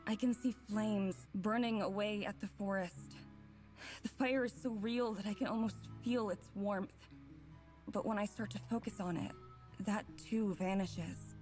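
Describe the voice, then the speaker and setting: A woman's voice: medium pitch, a little mellow, natural voice. An actress playing an anguished young woman who narrates a fairy vision in a dramatic visual novel.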